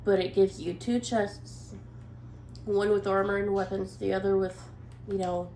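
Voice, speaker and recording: A woman's voice: medium pitch, a little slow, a little hiss or hum. A woman with a head cold, sounding nasal, chatting casually through a gameplay session with lots of ums. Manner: relaxed, hesitant with many ums. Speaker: gameplay commentator. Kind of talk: casual let's play commentary. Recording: echoey room with faint ambience.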